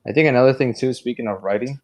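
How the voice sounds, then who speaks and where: A man's voice: medium pitch, racing, a little mellow. A man co-hosting a podcast, talking through a film review in a casual, rambling, digressive way. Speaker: podcast co-host.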